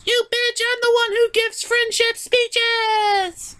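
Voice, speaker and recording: A man's voice: very high voice, little pitch movement, takes it slowly, sharp tone, pitched above the performer's own voice, exaggerated voice. A man voicing an indignant, overwrought female pony hand puppet with an accusing manner. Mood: outraged.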